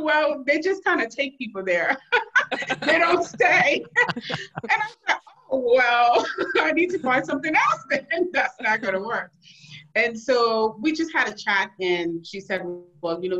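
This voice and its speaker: A woman's voice: on the high side, highs cut off. A woman from Liberia telling stories casually and animatedly as a guest in an interview. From Liberia, West Africa. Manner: animated, laughs often while telling stories. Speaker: podcast guest, emergency physician.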